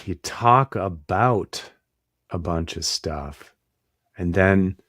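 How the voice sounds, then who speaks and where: A man hosting a reflective conversation, talking slowly and thoughtfully and searching for his words as he goes. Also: sits low, a bit bright. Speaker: host, therapist.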